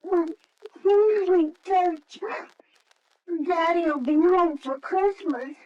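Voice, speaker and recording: A performer playing an earnest, forlorn small child with a halting, plaintive manner in old-time radio style. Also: very high-pitched. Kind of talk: 1940s radio acting. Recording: dull, faintly noisy old radio recording.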